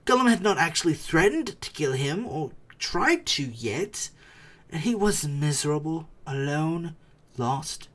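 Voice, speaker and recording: Male audiobook reader, husky voice, widely swinging intonation, theatrical, suspenseful storybook narration. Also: fairly high pitch, very animated, unhurried, a bit bright.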